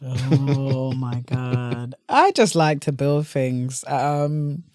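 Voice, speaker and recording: A young woman with a British accent and a low-pitched voice chats as a guest on a podcast. She talks informally and with animation, as if telling stories to a friend. The sound is dry, with hardly any room in it. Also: level tone, very slow, darkish tone, no room sound. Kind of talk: casual chat.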